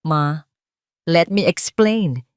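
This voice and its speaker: A man's voice: fairly high pitch, expressive, drawn-out words, smooth, no echo, clean studio sound. A woman voicing a panicked young husband caught cheating, stammering and pleading in an audio drama.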